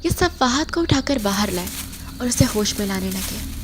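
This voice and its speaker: Woman narrator for a scary audio story, medium pitch, loud ambience behind her. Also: lively intonation, moderate pace. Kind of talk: scripted horror audio drama narration. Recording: noisy track with loud ambience.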